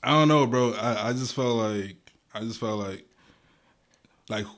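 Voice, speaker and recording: A man's voice: mid-range voice, a little slow, a bit bright. A young man from Guatemala, talking casually as an interview guest, with loose slangy speech full of restarts and fillers. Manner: laid-back slangy talk, many restarts and fillers. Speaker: guest rapper.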